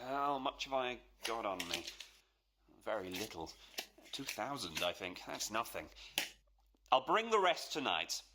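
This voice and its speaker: Man playing an eager, wildly animated young fiancé. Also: fairly high pitch, heavily acted. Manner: effusive, flustered, deferential. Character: eager, gushing, posh young fiancé wooing his father-in-law. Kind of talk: radio play acting.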